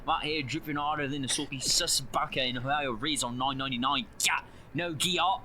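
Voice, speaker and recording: A cocky, slang-spouting British lad from a video game, as put on by a man, with a bright, forceful voice. He delivers a brash, exaggerated voicemail monologue. There is a faint clatter of noise behind him. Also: mid-range voice, a little fast. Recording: background clatter of dishes and water.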